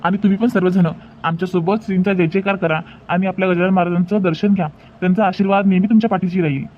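A man's voice: high-pitched, close to an everyday voice. A man voicing an earnest, devout young newlywed husband in a cartoon, speaking reverently with a Vidarbha Marathi accent. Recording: dull lo-fi sound, faint noise.

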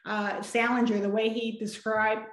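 A woman in her forties, from San Antonio, moderates a panel over a video call, talking in a loose, conversational way and laughing often. Her voice sits a little high, and the small room around her makes it echo. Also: little pitch movement, a little slow. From San Antonio, Texas. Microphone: video call webcam.

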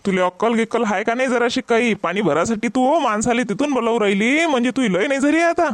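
A man's voice: very high-pitched, fast talker, no echo. A man voicing an exasperated village husband in a comedy cartoon, complaining sarcastically in a Vidarbha Marathi accent. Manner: complaining, sarcastic rustic banter. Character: exasperated, grumbling village husband with long-suffering temper.

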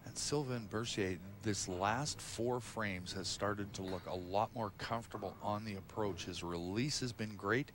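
A man's voice: on the low side, unhurried, hardly any pauses. A man giving explanatory, technical colour commentary during a live bowling match. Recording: live crowd noise behind. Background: audience and hall noise.

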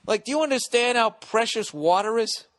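A middle-aged American man hosting a podcast, ranting sarcastically with lots of swearing, going loud then quiet. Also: very high voice, moderate pace, no echo, studio quality. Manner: profane, sarcastic ranting, swings loud and soft. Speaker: podcast host. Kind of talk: ranting solo podcast monologue.

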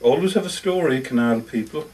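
Man reading as lonely awkward lodger, very animated, distant mic. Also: mid-range voice, fairly quick, lightly acted. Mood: wistful. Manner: hesitant, confiding, defensive, self-correcting. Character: lonely, self-justifying, socially awkward older male lodger. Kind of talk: first-person short story monologue read aloud. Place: live reading in a bookshop.

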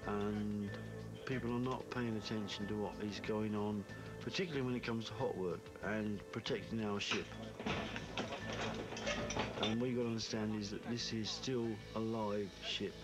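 A man's voice: on the low side, steady tone, normal speed. An older man, a ship safety officer speaking sternly and firmly in an interview. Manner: stern, firm, emphatic. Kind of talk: documentary interview. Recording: music bed underneath.

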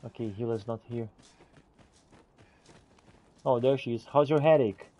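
A man giving casual in-character game commentary and reading notes aloud, halting and muttering as he goes. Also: mid-range voice, steady tone, dark tone, lo-fi sound, slight background noise. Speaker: gamer roleplaying and narrating a playthrough.

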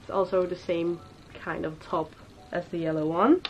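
A woman's voice: lowish voice, steady tone, moderate pace, highs cut off, faint music behind. A young woman in her twenties with a cold that makes her sound a bit nasal, chatting casually and giggling as she shows clothes in her bedroom. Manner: chatty, giggly, self-correcting. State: has a cold. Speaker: fashion vlogger. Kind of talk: casual try-on haul chat. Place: bedroom, sitting on a bed. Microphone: camera in front, upper body framing.

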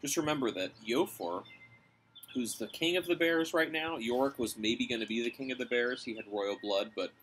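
A man's voice: mid-range voice, moderate pace, a bit bright, rough recording. A man in his thirties reading a story aloud on a porch, recorded by a camera a little way off. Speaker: reader of a children's novel. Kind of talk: read-aloud storytelling with brief asides. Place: outdoors on a covered porch. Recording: clear outdoor ambient noise.

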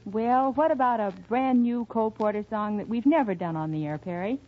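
A woman with a high voice speaks in the scripted style of a 1940s radio show. The old recording sounds dull and muffled. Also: a little fast. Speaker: featured singer on radio show.

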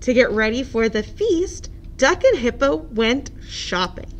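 Slow, high-voiced woman reading a picture book. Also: very animated. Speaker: children's librarian reading at story time. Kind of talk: expressive children's picture-book narration.

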